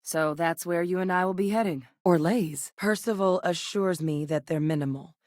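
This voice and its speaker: An actress playing a capable, guarded soldier-scientist in a sci-fi video game, speaking in a measured, dry, matter-of-fact way. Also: female voice, fairly low pitch, a little mellow, dry recording, close to an everyday voice. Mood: earnest, steady. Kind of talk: sci-fi game character dialogue.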